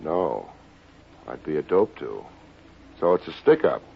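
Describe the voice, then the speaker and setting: Low-voiced wisecracking private eye. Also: male voice, big pitch swings, measured pace, gravelly, a little hiss or hum, heavily acted. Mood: nonchalant. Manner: wry, slangy, wisecracking. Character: wisecracking hardboiled male private detective, cocky and flirtatious. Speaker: private investigator and narrator. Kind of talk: old-time radio detective acting and narration. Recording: muffled old radio recording with music.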